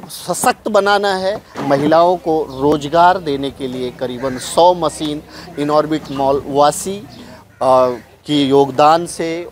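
High-pitched middle-aged Indian male reporter, noisy street. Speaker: field reporter and presenter. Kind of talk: on-location news reporting and interviewing. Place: outdoors on a busy street at an event. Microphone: handheld clip mic held close. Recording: noisy outdoor street ambience.

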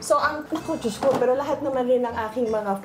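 A woman's voice: sits high, quick pace. A woman in her forties chatting casually in her home kitchen, giving a tour of her fridge and mixing English into her talk. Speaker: guest homeowner cooking. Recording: music in background.

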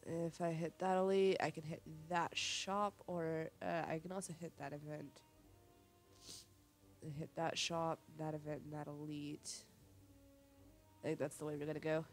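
A young woman sniffly with a cold, thinking aloud in low-key, casual commentary as she plays a video game. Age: young adult. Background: game music.

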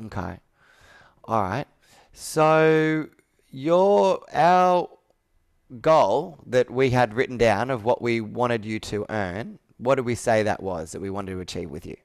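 A man's voice: medium pitch, lively intonation, takes it slowly, smooth. A man in his thirties coaching a sales roleplay on a video call, asking probing questions and repeating answers back. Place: indoor room with whiteboard, on a video call.

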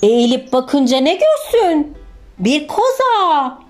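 A woman narrating a children's picture book in an expressive scripted storytelling style. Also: sits high, slow. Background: music.

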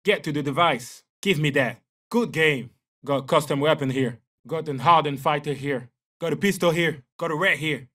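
An actor with a French accent playing a confident young special forces soldier in a military shooter game, giving terse, clipped callouts. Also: male voice, a little high, normal speed, bright, forceful tone, far from the mic. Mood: focused. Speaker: video game operator.

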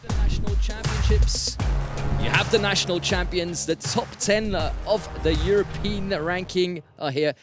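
A man's voice: sits high, moderate pace, loud music behind the voice. A man presenting live sports coverage as lead host and commentator, with an enthusiastic manner. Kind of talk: live event hosting.

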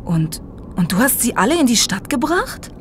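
A woman's voice: a little high, sing-song, moderate pace, slight background noise. A young woman playing an inquisitive young heroine stranded in a strange land, in a German audio drama, questioning expressively. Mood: curious, uneasy. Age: young adult.

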